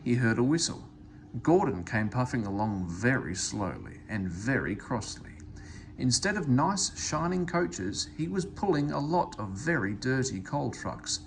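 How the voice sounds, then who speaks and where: A man reads a children's storybook aloud. His delivery is expressive, with a very lively pitch range at a middling height. Faint noise sits behind the voice. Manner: expressive, animated. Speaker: storybook reader.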